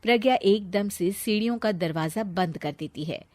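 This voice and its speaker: A woman's voice: mid-range voice, normal speed, a little mellow, dry recording, studio quality. A woman narrating a scripted family story as the storyteller.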